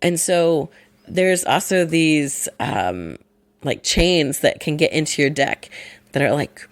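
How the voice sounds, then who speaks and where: Sick woman with a gravelly voice. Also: deep voice, expressive, slow, dry recording. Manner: chatty, self-correcting, laughs often. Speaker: podcast co-host. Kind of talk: casual podcast chat.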